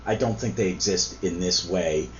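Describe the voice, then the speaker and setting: A man's voice: deep voice, moderate pace. Man, froggy voice from a cold, casual host, meandering with ums, slightly muffled lo-fi sound. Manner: rambling, with frequent ums and restarts. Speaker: podcast host. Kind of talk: casual comic book review. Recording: slightly dull lo-fi recording with faint noise.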